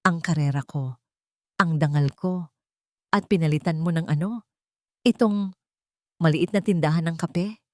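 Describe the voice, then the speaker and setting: A woman's voice: deep voice, measured pace, pauses often, dry recording, clean studio sound. A woman narrator with a Filipino accent voicing a bitter, taunting villain in a dramatic story. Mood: bitter, scornful. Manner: confrontational, scornful.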